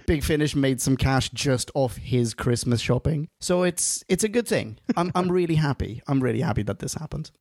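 A man co-hosting a review podcast in casual, animated chat, prone to giggling. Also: medium pitch, normal speed, gravelly, hardly any pauses, no echo. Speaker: podcast co-host reviewing audio dramas.

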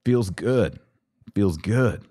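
A middle-aged American man with a deep voice talks as a livestream host answering his audience. His delivery is casual yet emphatic and opinionated, built on short phrases that he likes to repeat. The sound is dry and close, with very little room around it. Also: lively intonation, extremely slow, warm tone, no echo. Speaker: podcast host taking live audience questions. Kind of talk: casual livestream monologue, reading and answering comments.

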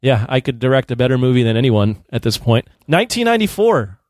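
A man's voice: mid-range voice, very animated, fast talker, a little mellow, no room sound, clean studio sound. A man in his thirties co-hosting a podcast, talking casually about films. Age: 30s. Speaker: podcast co-host. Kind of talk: casual film discussion.

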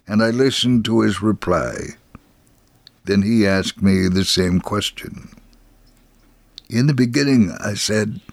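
A man reading a scripted first-person testimony as an elderly, gentle, devout Holocaust survivor, in a measured, earnest and deliberate manner. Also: fairly low pitch, no room sound. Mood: narrative, calm, then solemn, hopeful.

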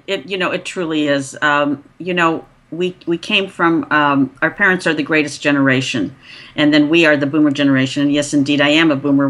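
A woman in her sixties, a podcast guest, telling her story in a chatty way with many pauses and restarts. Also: deep voice, fairly bright tone. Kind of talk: conversational interview.

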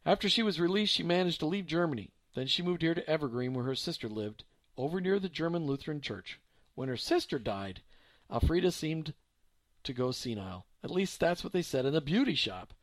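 A man voicing a calm, sensible mother relaying a sad story. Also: on the high side, fairly quick, dry recording. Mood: somber. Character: sensible middle-aged mother, gentle.

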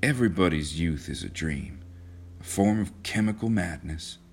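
A man's voice: deep voice, normal speed, gravelly, darkish tone, husky, heavily acted. A man voicing an earnest, romantic young man in a storybook reading. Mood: disillusioned.

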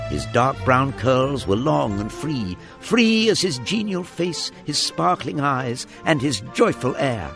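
A man's voice: a little high, creaky, full character voice. A man narrating a classic story for a radio drama, relishing each detail with dramatic expression.

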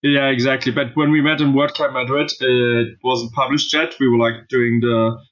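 A man's voice: mid-range voice, level tone, moderate pace, fairly bright tone, muffled recording. A man from southern Spain with a German accent, a guest in a casual interview who talks thoughtfully with many fillers and restarts. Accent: German-accented English. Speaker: podcast guest, blockchain author.

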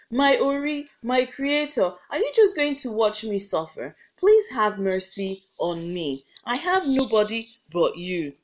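A woman's voice: mid-range voice, lightly acted. A woman with a Nigerian accent voicing a grieving, fierce old village mother who pleads with her ancestors. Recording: slightly muffled lo-fi recording.

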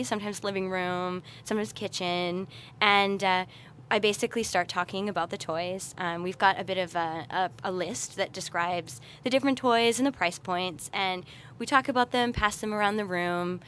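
A woman's voice: mid-range voice, moderate pace, a bit bright, a little hiss or hum. Casting: young adult female interviewee, mid-range voice, natural conversational delivery for a podcast. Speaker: podcast guest, researcher and party facilitator.

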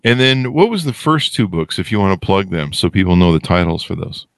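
A man's voice: sits low, animated, a little fast, vocal fry, dry recording. A man hosting a podcast in a casual, jokey, rambling way with a playful, self-deprecating manner. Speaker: podcast host.

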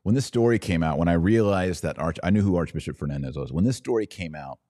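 A man's voice: sits low, lively intonation, fast talker, mellow, dry recording, clean studio sound. An American man giving opinionated solo podcast commentary, sarcastic and emphatic, repeating phrases for effect.

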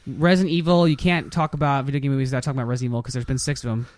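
A man's voice: mid-range voice, steady tone, rapid-fire, a little mellow, no echo. A man hosting a podcast in casual chat, giving opinionated, loosely worded reviews. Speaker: podcast host. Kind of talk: casual chat, reviewing video game movies.

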